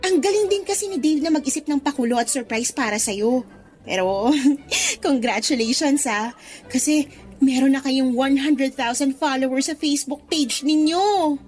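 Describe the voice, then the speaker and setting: An actress playing a giddy, gushing young best friend in a Tagalog radio drama, chatty and bubbly. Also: female voice, high-pitched, animated, normal speed, a little mellow. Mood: cheerful, teasing. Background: faint music.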